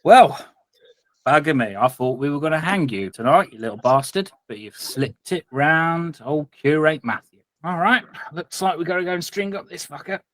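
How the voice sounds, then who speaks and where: A man voicing a gruff, mocking town constable who swears crudely and is eager for a hanging. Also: on the high side, expressive, moderate pace, fairly bright tone, no room sound, voice pushed up. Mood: gruff glee.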